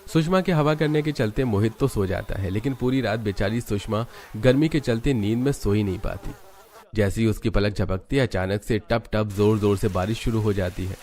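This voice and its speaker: A man's voice: lowish voice, normal speed, a little mellow. A man narrating a scripted story as the storyteller.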